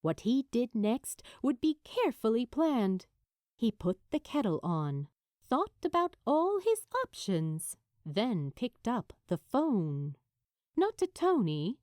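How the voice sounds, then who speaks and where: A woman narrating fiction as a dramatic storyteller, in a measured, deliberate way with emphatic pauses. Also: a little high, big pitch swings, darkish tone, no echo, studio quality. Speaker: audiobook narrator.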